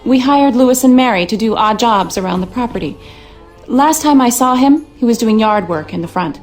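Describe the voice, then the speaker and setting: Higher-voiced, worried manor lady, acted by a woman. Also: lively intonation, moderate pace, soft background music, natural voice. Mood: curious, measured. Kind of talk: video game dialogue.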